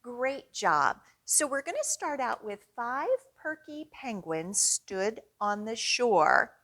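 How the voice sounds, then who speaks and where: A woman leading a storytime for young children, reading expressively and guiding them along in an encouraging, teacherly way. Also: fairly high pitch. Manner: slow, expressive, encouraging, with clear teacherly guidance. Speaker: children's librarian hosting storytime.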